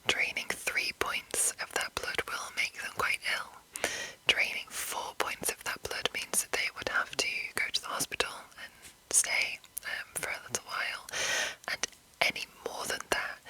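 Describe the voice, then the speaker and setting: A woman whispering an explanation in a breathy, rambling, unscripted ASMR style, soft and measured. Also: rough recording. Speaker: ASMR host explaining a roleplaying game.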